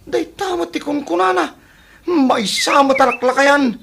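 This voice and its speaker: Actress as a creaky, touchy Ilocano sore loser. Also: female voice, sits high, unhurried, noisy background. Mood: puzzled, gossipy. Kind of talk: Ilocano radio comedy drama acting. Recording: music behind the voice.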